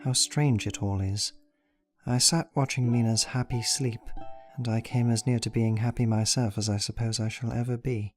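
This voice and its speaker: A man's voice: medium pitch, fairly even intonation, normal speed, faint music behind, close to an everyday voice. An actor playing a troubled, devoted young husband, narrating his diary solemnly in a radio play. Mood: tender. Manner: solemn, brooding, reflective.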